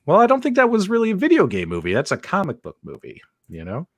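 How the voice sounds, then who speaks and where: A man's voice: high-pitched, huge pitch swings, fast talker, a bit bright, no room sound, studio quality. A man hosting a casual live talk show about video game movies, chatty and laughing, with frequent fillers. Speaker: host. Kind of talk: casual livestream chat.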